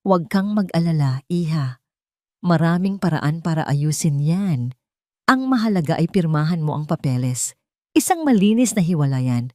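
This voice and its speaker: A woman's voice: deep voice, animated, moderate pace, warm tone, no echo, studio quality. A woman voicing a haughty older rich mother-in-law, hard, cold and disdainful, in an audio drama. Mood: icy disdain.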